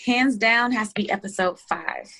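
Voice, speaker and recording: A woman chatting casually on a group video call, animated and slangy, often giggling. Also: medium pitch, normal speed. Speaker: cast member being interviewed.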